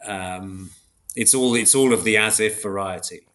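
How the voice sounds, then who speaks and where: A man with a fairly low voice gives considered answers as an academic guest in an interview. He feels his way through each idea, often stopping to rephrase and qualify himself as he explains. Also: unhurried, fairly bright tone. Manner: hesitant, self-correcting, reflective academic explaining. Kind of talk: thoughtful interview discussion.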